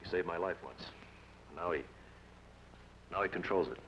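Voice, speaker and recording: An actor playing a brooding outlaw leader who gives curt, cold commands, in old radio drama style. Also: male voice, deep voice, moderate pace, a bit bright, slight background noise. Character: brooding, commanding outlaw man, quick to anger. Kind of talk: 1940s radio acting. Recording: dull old radio broadcast.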